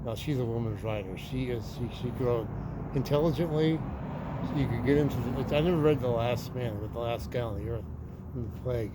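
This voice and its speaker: Halting, rambling man over loud traffic. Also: medium pitch. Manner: halting, trails off mid-sentence. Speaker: podcast co-host. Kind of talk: rambling casual chat. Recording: loud traffic noise outdoors.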